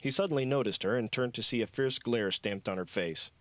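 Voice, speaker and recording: A man's voice: mid-range voice, fairly quick, a bit bright, dry recording. A man reading scripted fiction as an audiobook narrator.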